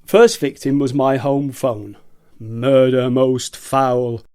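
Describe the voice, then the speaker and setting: An amateur actor playing a jaded, agitated prisoner who rants about machines in a theatrical, emphatic way, as in a radio play. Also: male voice, medium pitch, fairly even intonation, slow pace, mellow, clear, resonant tone, lightly acted. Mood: gleeful confession. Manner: theatrical, emphatic, ranting with repetition.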